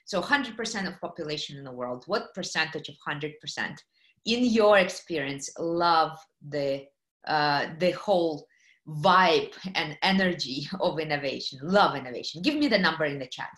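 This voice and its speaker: A middle-aged woman from Kazakhstan, giving a lively webinar talk on a video call, with many fillers and questions to her audience. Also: deep voice, normal speed, roomy sound. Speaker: guest speaker. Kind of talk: webinar presentation. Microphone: computer mic on video call.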